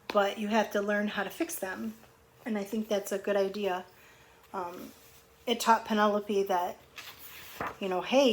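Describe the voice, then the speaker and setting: A middle-aged woman with a mid-range voice reads a storybook to young children. She breaks off often to explain things in simple words and to put questions to her listeners. There is some noise in the home recording. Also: medium pitch. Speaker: teacher reading aloud to young children. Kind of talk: picture book read-aloud with conversational asides and questions. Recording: clearly noisy home recording.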